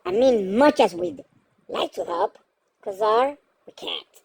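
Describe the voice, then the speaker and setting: A man's voice: extremely high pitch, lively intonation, takes it slowly, vocal fry, breathy edge, pitched above the performer's own voice, exaggerated voice. A man voicing a reluctant, apologetic comic-book team leader in a strained, hesitant voice.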